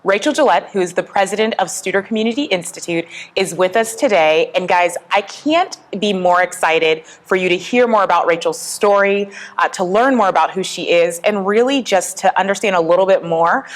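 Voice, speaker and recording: Excited woman host, chatty podcast interviewer, laughs a lot. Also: medium pitch, normal speed, hardly any pauses. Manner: enthusiastic, laughs often. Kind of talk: casual interview conversation. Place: Pensacola, Florida.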